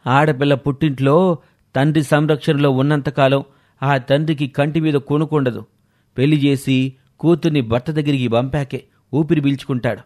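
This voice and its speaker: A man voicing a stern, scornful middle-aged father in a moral story, gruff and dismissive. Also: a little high, steady tone, moderate pace, darkish tone, close to an everyday voice.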